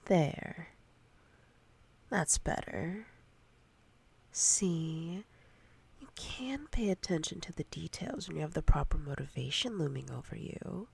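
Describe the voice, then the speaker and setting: A seductive, domineering female boss, acted by a woman for an ASMR roleplay. She speaks very slowly and deliberately in a low voice that slips into whispers, with a gravelly creak. Her tone is commanding and teasing. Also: expressive, full character voice. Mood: stern, controlling. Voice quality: breathy, husky whisper.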